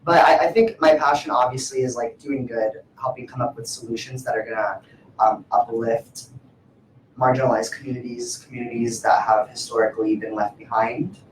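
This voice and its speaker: A man's voice: mid-range voice, steady tone, moderate pace. A man in his twenties on a discussion panel, who talks in a hesitant way with many fillers and restarts. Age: 20s. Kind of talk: casual panel talk. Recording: echoey room from far off, faint noise.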